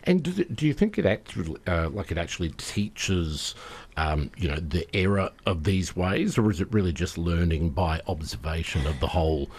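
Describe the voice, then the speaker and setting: Deep-voiced middle-aged Australian man. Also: expressive, normal speed, darkish tone, slightly rough. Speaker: radio host interviewing guests. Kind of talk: conversational radio presenting. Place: radio studio.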